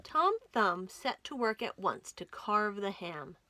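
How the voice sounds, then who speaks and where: A woman reading a children's story aloud as a storytime host, with dramatic, expressive delivery. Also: medium pitch, slow pace, a little hiss or hum. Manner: dramatic, animated storytelling with whispers and emphasis. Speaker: library storytime reader.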